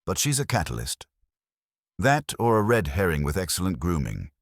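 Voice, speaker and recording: A brusque, erudite master detective of a mystery story, performed by a man, curt and pompous. The voice is very deep with lively swings, recorded dry. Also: moderate pace, dry recording, exaggerated voice. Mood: curt, superior. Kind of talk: detective audiobook character dialogue.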